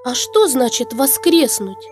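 A sweet little girl for a children's puppet show. She speaks in a high, childlike voice with an innocent, questioning manner. Music is clearly audible behind her. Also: big pitch swings, unhurried. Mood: curious.

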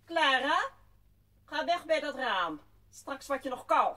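An actress playing a strict, stiff governess in an audio drama, with a stern, haughty, commanding manner. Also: female voice, sits high, big pitch swings, slow, bright, forceful tone, full character voice. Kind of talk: Dutch audio drama acting.

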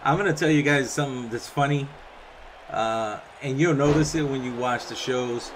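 A young man doing play-by-play commentary over a wrestling video game, chatty and casual between calls and getting excited on the big moves. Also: mid-range voice, moderate pace, slight background noise, poor audio. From Puerto Rican background. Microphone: home microphone, voice-over on game footage.

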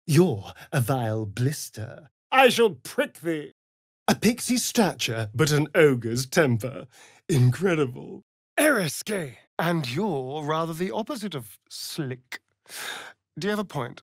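An actor playing a sardonic, vain vampire rogue in a video game, with an arch, theatrical, drawling delivery. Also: male voice, on the high side, big pitch swings, slow pace, slightly rough, no room sound, studio quality, heavily acted. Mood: irritated, mocking. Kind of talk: video game character lines.

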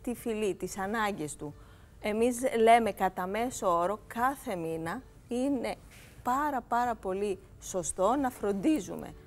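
A woman's voice: mid-range voice, lively intonation. A woman in her forties, a grooming salon owner, talking eagerly in a TV studio interview on a lapel mic.

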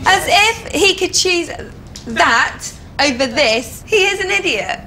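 A woman's voice: very high-pitched, expressive, slow, bright, forceful tone, slight background noise. A British woman in her twenties, talking candidly as a bride on a reality show. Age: 20s. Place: indoors, bridal shop.

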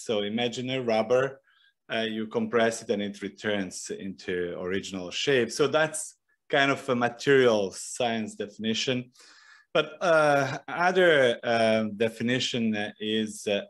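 A middle-aged man with a Bosnian accent, giving an informal presentation into a desk microphone in a halting, hesitant way. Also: lowish voice, a bit bright. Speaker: professor and guest speaker. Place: small indoor room. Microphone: desk broadcast microphone on a boom arm.

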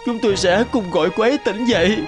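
A devoted, anxious young father from a cartoon drama, acted by a man. His voice sits high for a man and is earnest and full of feeling. Music plays clearly behind him. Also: fast talker, natural voice. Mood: anguished, pleading. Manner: earnest, emotive. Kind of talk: dubbed cartoon drama acting.

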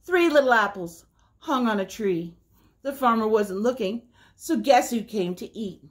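A middle-aged woman hosting a children's story time, reading aloud in a deliberate, sing-song way. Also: a little high, expressive. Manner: slow, deliberate, sing-song repetition for young listeners. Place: small indoor room by a window.

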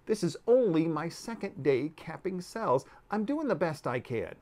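A man's voice: a little high, big pitch swings, a little slow, a little mellow, pitched above the performer's own voice. A man voicing a patient female worker honeybee, earnest and mildly defensive as she explains herself.

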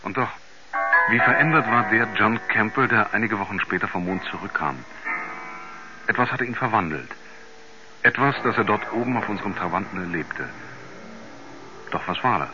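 An actor playing a writer soberly recounting his astronaut friend's story in a 1970s radio drama, in a measured, grave manner. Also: lowish voice, animated, dull audio, natural voice. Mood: sober, foreboding. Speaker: narrator. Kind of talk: 1970s German radio play narration. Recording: band-limited 1970s radio recording with music.

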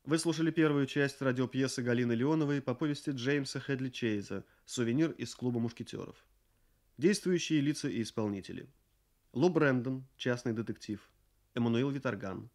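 A man's voice: mid-range voice, moderate pace, a little mellow. A man formally reading scripted credits as a radio announcer.

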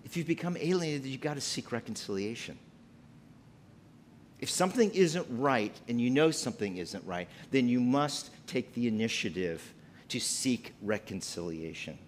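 A middle-aged man delivers a sermon in a church sanctuary, his voice rising and falling in lively swings as he presses his points. He speaks at a medium pitch through a pulpit mic. A faint low rumble sits under his voice. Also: moderate pace. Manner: preaching with wide pitch swings, emphatic and gesturing. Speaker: pastor.

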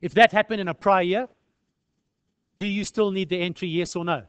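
High-pitched South African man teaching. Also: fairly even intonation, moderate pace, smooth, dry recording, lo-fi sound. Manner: conversational, peppered with rhetorical questions and "eh". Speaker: accounting lecturer. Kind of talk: informal tutorial explanation.

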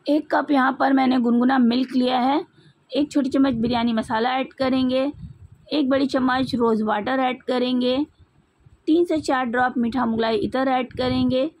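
High-pitched Indian woman giving cooking instructions. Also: a little mellow, no room sound, low-quality recording. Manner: instructional, methodical. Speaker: home cook presenting a recipe. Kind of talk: step-by-step cooking tutorial narration.

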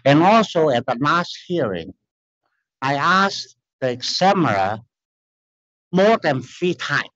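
Middle-aged Chinese-accented man pleading his case at a lectern, slow and earnest, muffled sound. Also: fairly high pitch, very animated, a bit bright. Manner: halting non-native phrasing, earnest and insistent. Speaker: applicant appealing a denial. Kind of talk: formal hearing testimony. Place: large hearing room, standing at a lectern.

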